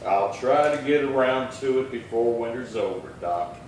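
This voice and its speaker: A calm, laconic marshal of the Old West played by a man, with a mid-pitched voice and dry delivery. It is radio-play acting, picked up from afar in a very echoey room. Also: moderate pace, lightly acted. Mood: dry, wry. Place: live theatre stage performance. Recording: very roomy, distant stage pickup, faint noise.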